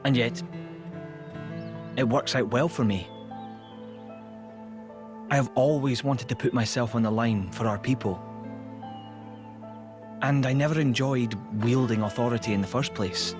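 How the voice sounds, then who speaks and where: A man's voice: mid-range voice, music in the background, natural voice. A man acting a dignified, earnest young emperor. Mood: resolute. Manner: formal, measured, polite. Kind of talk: video game cutscene acting.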